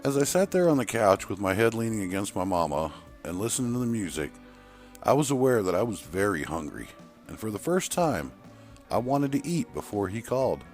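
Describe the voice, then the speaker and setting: A man's voice: lowish voice, big pitch swings, brisk, a little mellow, soft background music, pitched above the performer's own voice. A man voicing a wistful woman who earnestly recalls her trucker father in an audio story. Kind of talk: acted dialogue in an audio story.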